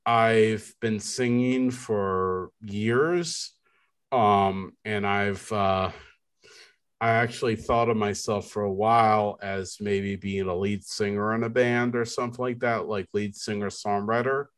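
A man co-hosting a podcast, talking in a deep baritone. He speaks very slowly, drifting through his thoughts with frequent ums and restarts. His voice sits low. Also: steady tone, clean tone. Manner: slow, rambling, many fillers and restarts. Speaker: podcast co-host. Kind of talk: casual chat.

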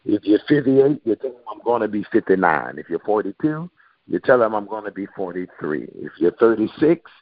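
A man's voice: lowish voice, very animated, fairly quick, no echo. A man, a pastor, preaching and giving updates to his congregation over a phone call, quoting old-style scripture with emphasis. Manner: preacherly, quotes King James scripture with emphasis. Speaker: pastor and prophet.

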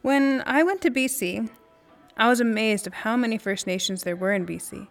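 A fairly high-pitched woman speaks as an earnest, indignant friend in an audio drama. Her delivery is conversational and emphatic, like someone who needs to be understood. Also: moderate pace, vocal fry, soft background music. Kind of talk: naturalistic audio drama dialogue.

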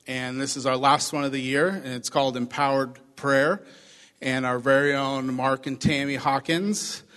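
A man with a hoarse voice, pastoring a church, giving chatty announcements and a short sermon to his congregation. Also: medium pitch, fairly bright tone. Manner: upbeat, chatty, addresses congregation directly. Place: church sanctuary. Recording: long reverb of a church hall.

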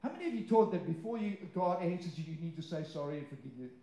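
A man preaches in a high, mellow voice, speaking English as his second language. He leans hard on key words, drawing them out, then slips into quick informal asides and questions to his listeners. The room echoes clearly around him. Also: lively intonation. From born abroad, moved to Australia aged nine. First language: not English; English is a second language. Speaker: pastor preaching. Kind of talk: sermon to a live congregation. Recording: roomy, echoing live sermon sound.

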